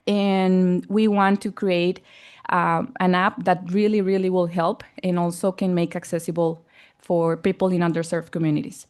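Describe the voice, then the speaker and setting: A woman whose first language is Spanish, giving a startup pitch at an event, pausing often and searching for words. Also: level tone, a little mellow, clean tone. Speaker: startup presenter. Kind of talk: pitch presentation. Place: event hall.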